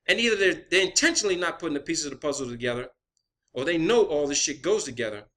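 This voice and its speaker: Bright, high-pitched man, ranting and profane. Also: animated, fast. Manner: profane, emphatic, combative; repeats phrases for emphasis. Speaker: video creator. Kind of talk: impassioned rant to online viewers.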